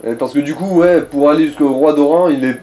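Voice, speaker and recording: A man's voice: fairly high pitch, a little fast, warm tone. A man chatting casually as co-commentator on a video game, advising the player in slangy, mildly crude language. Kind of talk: casual gaming chat.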